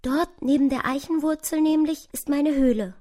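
A woman voicing a small, timid, lost little mouse in a children's story, with a soft, breathy, plaintive voice. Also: high-pitched, fairly even intonation, moderate pace, voice pushed up, lightly acted.

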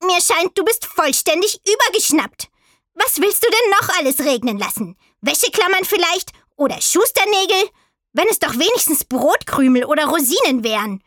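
A woman voicing a grumpy, scolding male raven in a children's storybook, with a croaky, screechy, sarcastic delivery. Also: extremely high pitch, big pitch swings, normal speed, a bit bright, dry recording, studio quality, voice pushed up, exaggerated voice. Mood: indignant, mocking. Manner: reproachful, sarcastic.

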